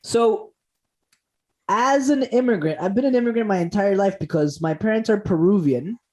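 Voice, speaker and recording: A man's voice: high voice, normal speed, darkish tone. Man, podcast guest, upbeat storytelling, jokey, frequent laughs. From Costa Rica. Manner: enthusiastic, jokey, laughs often, restarts words. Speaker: guest comedian and speaker.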